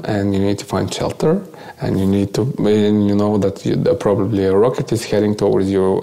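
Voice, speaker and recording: A man's voice: mid-range voice, gravelly, mellow. A man from Bulgaria with a Bulgarian accent, answering interview questions in an earnest, explanatory way, often searching for words. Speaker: interview guest, volunteer.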